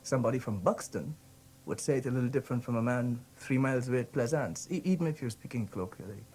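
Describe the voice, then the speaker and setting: A man from Guyana with a Guyanese accent, thoughtfully giving a spoken account, hesitant and pausing. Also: mid-range voice, a little mellow. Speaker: interviewee.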